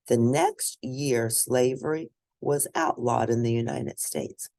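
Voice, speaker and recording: A woman reading a children's picture book aloud as host, in expressive, deliberate storytelling. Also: extremely low pitch, creaky.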